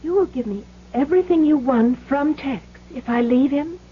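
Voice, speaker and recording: An actress playing a loyal, plucky young wife in a 1970s radio drama, speaking earnestly and with emphasis. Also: female voice, sits high, moderate pace, narrow, tinny audio, close to an everyday voice. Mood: firm, indignant. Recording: thin, band-limited old radio recording.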